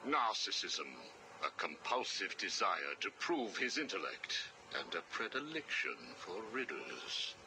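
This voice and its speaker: Older male mastermind, deep, expressive, measured, condescending, clinical menace, video game villain, hissy audio. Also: exaggerated voice. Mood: stern, clinical. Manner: measured, clipped, commanding, clinical. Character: sinister male psychiatrist villain, older, cold, controlling, condescending. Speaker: villain, prison warden.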